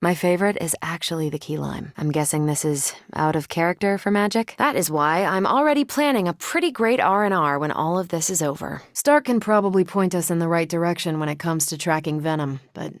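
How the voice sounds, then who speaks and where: A woman's voice: lowish voice, fairly quick, hardly any pauses, no room sound, close to an everyday voice. Woman as a confident, wry superhero, close and dry. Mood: dryly humorous. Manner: wry, casual, self-assured. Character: confident, wry, battle-hardened female superhero. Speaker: video game voice actor. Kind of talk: video game character dialogue.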